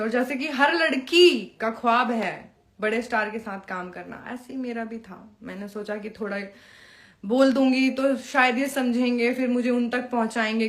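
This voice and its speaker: Young woman, slightly high pitch, informal live monologue, confrontational, taunting, English phrases mixed in. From Bihar, India. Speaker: Bhojpuri performer addressing followers on a live stream. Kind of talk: informal live-stream monologue, personal call-out.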